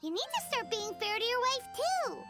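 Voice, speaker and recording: A woman voicing a tiny, childlike, bossy and excitable fairy companion in a video game, with a squeaky, cheeky delivery. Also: normal speed, loud music behind the voice. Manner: cheeky, exclamatory, refers to self in third person. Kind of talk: video game cartoon voice acting.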